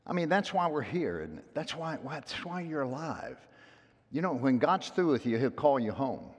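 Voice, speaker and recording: A man preaching from the pulpit with a loud voice and a folksy, storytelling way of talking. He drops into quiet, confiding asides and then climbs back to ringing, emphatic lines. The long reverb of a big sanctuary trails after every phrase. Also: medium pitch, big pitch swings, moderate pace, husky. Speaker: pastor. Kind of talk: sermon. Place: church sanctuary.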